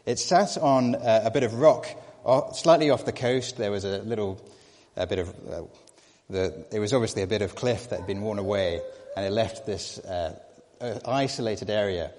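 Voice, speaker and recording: A man's voice: on the low side, normal speed, a little mellow, big-room sound. A young man giving a sermon as a trainee preacher, hesitant, with many fillers and restarts. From Scotland. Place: church.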